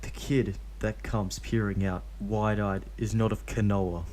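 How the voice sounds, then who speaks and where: Man, story reader, low voice, mellow tone, steady plain reading, dry asides. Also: measured pace, slight background noise. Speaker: reader of fan fiction.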